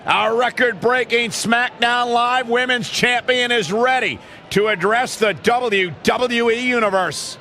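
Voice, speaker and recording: A hyped wrestling announcer, really high and forceful, shouting the call, game commentary. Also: male voice, steady tone, unhurried, slight background noise, heavily acted. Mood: excited. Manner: shouting, emphatic. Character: excitable male wrestling play-by-play announcer. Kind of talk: sports commentary.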